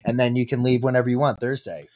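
A man's voice: fairly even intonation, fast talker, darkish tone. A man in his thirties in casual chat, teasing his friend and swinging his voice up when he mocks. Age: 30s. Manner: teasing, jumps high when mocking. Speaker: podcast guest. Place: Los Angeles.

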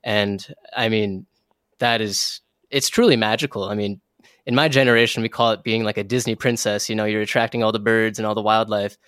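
A man's voice: on the low side, fairly even intonation, fairly quick, a bit bright, clear, resonant tone, dry recording, clean studio sound. A young American man chatting casually as an interview guest, with an informal, slangy, effusive way of talking. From southern Minnesota, United States. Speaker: interview guest, wildlife photographer.

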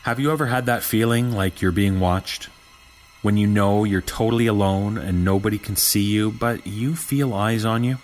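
A man playing a young security guard who recounts an eerie discovery in a deliberate, suspenseful horror podcast narration. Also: lowish voice, a little hiss or hum, natural voice. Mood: uneasy, suspenseful. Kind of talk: scripted horror story narration.

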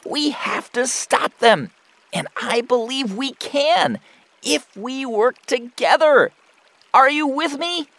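An actress playing an eager, earnest beaver with a creaky, expressive voice in a children's audio folktale. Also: female voice, high-pitched, takes it slowly, heavily acted. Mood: rallying, determined. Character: eager, busy, earnest beaver, sociable and sincere.

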